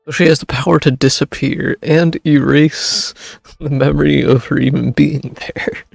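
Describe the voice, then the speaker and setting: A man's voice: fairly high pitch, fairly even intonation, measured pace, vocal fry, husky, no room sound, faint music behind. A man giving casual, slangy, laid-back reactions as he streams a game. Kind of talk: casual gameplay reaction commentary.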